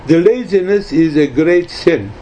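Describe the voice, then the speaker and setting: Man in his nineties, slow halting speech, mellow tone, religious teacher, emphatic repetition, informal spiritual talk. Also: a little high, animated. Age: 90s. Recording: dull lo-fi recording, faint background noise.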